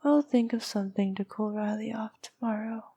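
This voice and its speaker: A woman playing a noble but needy vampire princess in an intimate ASMR roleplay, speaking softly and often whispering, cooing and possessive. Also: a little high, fairly even intonation. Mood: needy, sleepy, intimate. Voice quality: soft, breathy, often dropping to a whisper. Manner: slow, cooing, possessive, coaxing.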